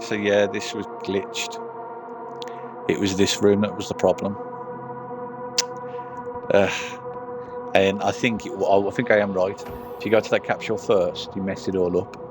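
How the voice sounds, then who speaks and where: A man's voice: on the low side, flat delivery, unhurried, pauses often, over music. A man giving casual playthrough commentary as a gaming streamer, muttering to himself as he thinks aloud. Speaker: gaming streamer reading all game text aloud.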